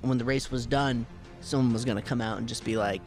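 Playful young podcast co-host. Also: male voice, medium pitch, fairly even intonation, a little fast, over music. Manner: playful, builds on jokes. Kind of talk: casual joking banter. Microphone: podcast mic.

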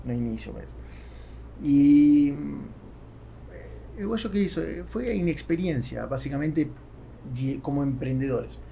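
A man in his forties from Argentina with an Argentine Spanish accent, a native Spanish speaker answering conversationally in a podcast interview with halting phrasing. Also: fairly high pitch, expressive, creaky, dark tone, a little hiss or hum. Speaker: entrepreneur, podcast guest. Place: small foam-padded podcast studio. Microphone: studio microphone on boom arm.

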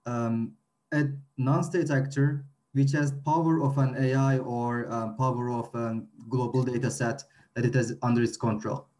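Young man, turkish accent, mellow voice, hesitant question, video call. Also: mid-range voice, fairly even intonation, normal speed. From Turkey. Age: young adult. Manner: hesitant, many fillers and restarts while searching for terms. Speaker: student asking questions. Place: room with a whiteboard.

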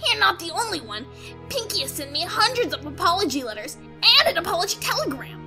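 A woman voicing a cocky, blunt young female pegasus pony in an animated radio play. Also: very high voice, fairly quick, over music, heavily acted. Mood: casual. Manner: boastful, blunt, exasperated.

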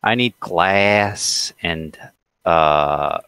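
A man's voice: deep voice, extremely slow, pushed and bright, smooth, dry recording, clean studio sound. A man chatting and teasing his friends while playing a game together over a headset mic. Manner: teasing, jokey. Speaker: gamer. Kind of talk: casual gaming chat.

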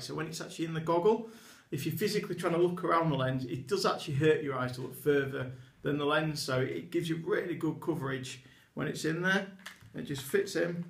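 A man's voice: a little high, fairly bright tone. A man in his thirties from England, an eyewear retailer talking enthusiastically to camera across a desk while he demonstrates products. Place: small indoor office with a poster behind a desk. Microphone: camera in front at desk distance. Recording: echoey small room.

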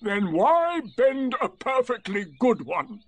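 A man voicing a gloomy, sarcastic storybook donkey with a slow, mournful drawl. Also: extremely high pitch, big pitch swings, pitched above the performer's own voice, heavily acted. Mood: dry, fussy. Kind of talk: storybook character voice.

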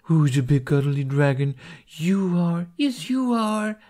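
A giggly young Viking woman, as a male narrator puts her on, coos and gushes. The voice is pitched well up and swoops freely, in storybook style. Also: lively intonation, slow, warm tone. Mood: gushing. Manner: gushing, cooing.

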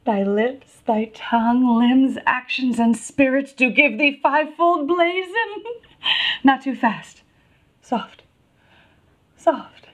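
Woman acting a high-voiced, lovestruck young noblewoman. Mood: wry, rapt, then giddy, musing. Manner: theatrical, deliberate phrasing with pauses. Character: lovestruck young noblewoman, haughty, playful, wistful. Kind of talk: classical Shakespearean stage monologue.

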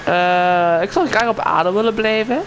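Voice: medium pitch, a little slow. A gamer in casual roleplay, playing a helpful guide and patiently explaining things. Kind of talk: casual game roleplay chat. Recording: game and vehicle noise behind.

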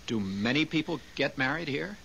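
A needy, timid fellow who defers to his boss in a 1970s radio play. His tone is bright and edgy, with very mobile intonation. Faint hiss sits behind him. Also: mid-range voice, expressive, normal speed, gravelly, rough recording. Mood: uncertain, eager. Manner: hesitant, deferential. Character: timid, dependent, indecisive man pleading with his superior. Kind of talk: 1970s radio drama acting. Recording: hissy 1970s radio broadcast recording.

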